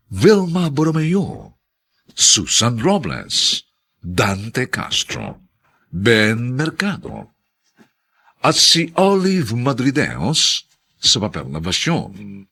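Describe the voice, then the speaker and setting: Gravelly, theatrical male radio drama announcer. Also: lowish voice, bright, forceful tone. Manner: theatrical, formal. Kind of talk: scripted dramatic narration and credits.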